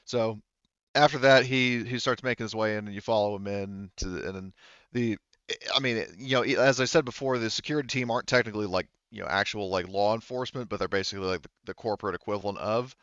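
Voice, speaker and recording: Guy running a tabletop rpg, lowish voice, rambly and informal, stops and starts, dry sound. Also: fast, a bit bright, clean tone, no room sound, lo-fi sound. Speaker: game master. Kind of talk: casual, improvised tabletop game narration.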